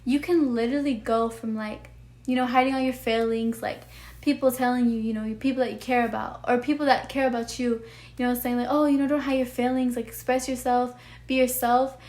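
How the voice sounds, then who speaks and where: A young woman talks straight to her viewers in a soft, sweet voice that sounds almost childlike and sits a little high. She is earnest and rambling, with her thoughts spilling out between constant "like"s and "you know"s. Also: fairly even intonation, fairly quick. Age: young adult. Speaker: vlogger. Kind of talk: heartfelt personal talk to camera.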